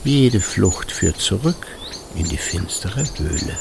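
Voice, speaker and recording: An actor playing a sardonic, brooding older husband, musing in a literary radio play monologue. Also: male voice, sits low, sing-song, takes it slowly, vocal fry, very noisy, exaggerated voice.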